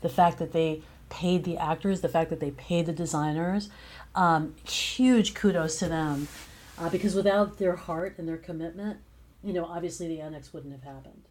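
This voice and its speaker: Effusive woman with a low-pitched voice. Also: fairly even intonation, moderate pace, darkish tone. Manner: effusive, emphatic praise. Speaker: guest, playwright and director. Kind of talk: conversational interview.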